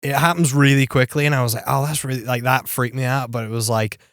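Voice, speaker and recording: A man chatting informally as a podcast panelist, retelling things in an animated, conversational way. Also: medium pitch, fast, no room sound, studio quality. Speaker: podcast panelist discussing films. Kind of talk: casual chat.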